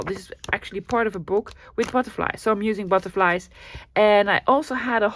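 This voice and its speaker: A woman's voice: medium pitch, a bit bright. A woman from the Netherlands with a Dutch accent, chatting her way through a craft tutorial with plenty of ums and restarts. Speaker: craft channel host.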